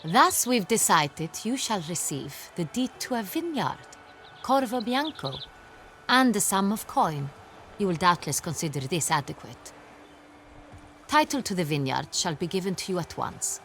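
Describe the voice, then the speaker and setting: An actress playing a haughty, formal young duchess in a video game, quick to indignation. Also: female voice, on the low side, very animated, fairly bright tone, faint music behind, heavily acted. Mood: composed, regal. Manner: formal, speaking with the royal we. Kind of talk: video game character acting.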